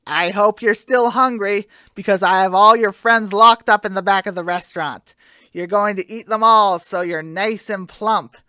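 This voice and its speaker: A woman's voice: mid-range voice, fairly even intonation, normal speed, bright, forceful tone, dry recording, lightly acted. A woman playing a gloating restaurant villain in a radio comedy sketch, theatrical and menacing. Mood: gloating menace. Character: gloating restaurant villain who threatens to cook chickens.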